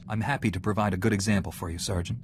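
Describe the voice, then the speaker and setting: A man playing a calm, earnest Jedi Knight in a video game, speaking in a measured, formal way. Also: on the low side, background noise, natural voice. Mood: composed. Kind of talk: video game dialogue acting.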